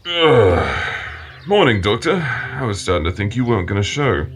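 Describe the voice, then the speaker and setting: An actor playing a smug, flippant hired villain in an audio drama, casual and mocking. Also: male voice, lowish voice, big pitch swings, normal speed, slight background noise. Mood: nonchalant. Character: smug, flippant male villain working for hire.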